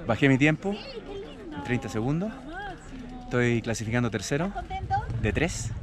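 A man from Chile, an amateur rider, chatting in a relaxed, joking way outdoors. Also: medium pitch, moderate pace. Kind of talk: casual chat. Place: outdoors on a hillside.

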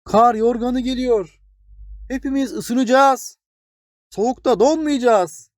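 A man's voice: very high-pitched, takes it slowly, a little mellow, no echo, clean studio sound, voice pushed up. A man voicing tiny young blades of grass in a children's storybook, naive, eager and childlike. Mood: joyful. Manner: childlike, exclaiming and questioning. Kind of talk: children's storybook character reading.

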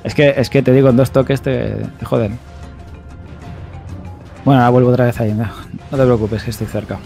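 A man's voice: medium pitch, fairly even intonation, a little fast, vocal fry, dark tone, soft background music. A man in his twenties with a Spain accent, chatting casually on a close mic while streaming a game. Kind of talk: casual chat while gaming. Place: indoor gaming setup.

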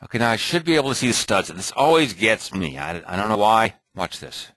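Low-voiced man muttering through a tutorial. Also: big pitch swings, brisk, fairly bright tone, no echo, rough recording. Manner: rambling, thinking aloud, muttering to himself. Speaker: software tutorial presenter. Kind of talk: off-the-cuff screen recording tutorial.

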